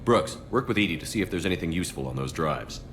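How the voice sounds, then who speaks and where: An actor playing a dry-witted, confident military commander in a video game, speaking curtly and deadpan. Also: male voice, low voice, very fast, background noise. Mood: determined. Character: steady, dry-witted male military commander, space hero. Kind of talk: cinematic video game dialogue.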